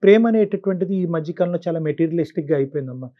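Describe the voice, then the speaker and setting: A man's voice: fairly high pitch, very fast, lo-fi sound. A man counselling on a call-in show, explaining his advice point by point and often repeating himself. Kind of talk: advisory talk to caller and co-host.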